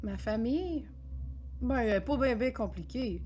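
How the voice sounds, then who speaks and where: A woman's voice: fairly high pitch, animated, moderate pace, darkish tone, faint music behind, lightly acted. A woman reading the part of an easygoing teenager, talking casually to the audience. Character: northern Ontario teenager in last school year, easygoing. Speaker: reader in a staged play reading. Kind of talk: theatre reading of a monologue.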